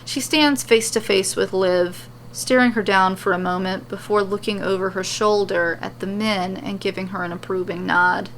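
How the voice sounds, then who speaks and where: A woman narrates a scripted story as its storyteller. Her delivery is expressive and her voice has noticeable creak, with clear noise behind it. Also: medium pitch, normal speed, hardly any pauses, rough recording.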